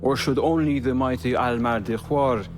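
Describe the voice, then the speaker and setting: A man's voice: mid-range voice, normal speed, close to an everyday voice. An actor playing a smug, cruel male official who speaks in a sly, taunting way. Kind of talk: video game cutscene acting. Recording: game audio with music.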